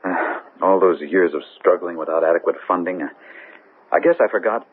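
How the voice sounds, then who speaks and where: An actor playing an earnest, stubborn, hurt fiancé with a husky voice in a 1970s radio drama. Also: male voice, sits low, brisk. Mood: earnest, apologetic. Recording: narrow lo-fi 1970s radio recording.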